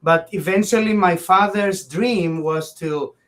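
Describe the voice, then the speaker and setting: A man's voice: high voice, unhurried, fairly bright tone. A man from Greece with a Greek accent, speaking as an interview guest in long, earnest, winding sentences that often restart. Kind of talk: conversational interview.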